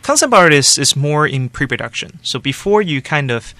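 Bright-voiced man explaining his work. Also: a little high, normal speed, no echo. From Taiwan. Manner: explanatory, steady, loose with "like" and "you know" fillers. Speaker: animation studio director, guest. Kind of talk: casual interview answers.

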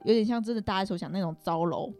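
A woman's voice: medium pitch, fast, darkish tone, soft background music. A young woman with a Taiwanese Mandarin accent tells personal stories casually, rambling and self-deprecating, hedging as she goes. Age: young adult. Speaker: podcast guest.

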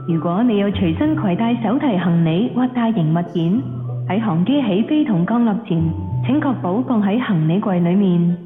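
A woman reading scripted airline safety narration, in a dull, echoey recording with music behind. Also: medium pitch.

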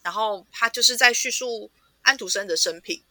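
A woman with a Taiwanese Mandarin accent co-hosting a casual book chat, conversational and agreeing in quick repeated words. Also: fairly high pitch, sharp tone, no room sound, poor audio. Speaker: podcast co-host.

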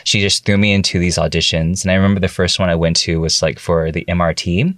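A man's voice: deep voice, flat delivery, fast, fairly bright tone, dry recording, studio quality. A young man, an actor and singer, chatting as a guest in an interview, telling stories loosely with lots of "like" fillers. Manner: chatty, filler-heavy storytelling. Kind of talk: casual interview chat.

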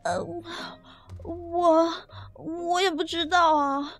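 A woman's voice: very high-pitched, steady tone, slow, faint music behind, exaggerated voice. A woman voicing a flustered young office newbie on probation who stammers and whines. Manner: jittery, stammering, whiny. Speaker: lead in an audio drama. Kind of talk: audio drama acting.

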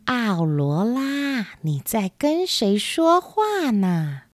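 A woman's voice: on the high side, sing-song, drawn-out words, dry recording, clean studio sound, exaggerated voice. A woman voicing a protective, caring tulip fairy with an earnest, firm manner in a storybook audio drama. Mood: calling.

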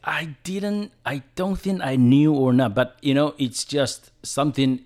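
A man in his thirties from Taiwan with a Taiwanese accent, a native Chinese speaker, talking haltingly as a guest in a radio studio interview. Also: fairly high pitch, a little mellow. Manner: halting, with restarts and fillers. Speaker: guest filmmaker. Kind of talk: casual interview.

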